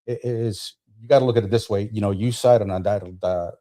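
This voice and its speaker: A man's voice: on the low side, fairly even intonation, brisk, dark tone. A man in his fifties from New Jersey, born in Colombia, talking casually as a veteran guest on a podcast, often repeating words as he goes. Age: 50s.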